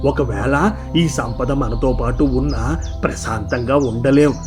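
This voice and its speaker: A man's voice: medium pitch, slow, music in the background, natural voice. Honest village farmer father, patient and firm, played by a man. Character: honest poor middle-aged farmer father. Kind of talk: cartoon voice acting.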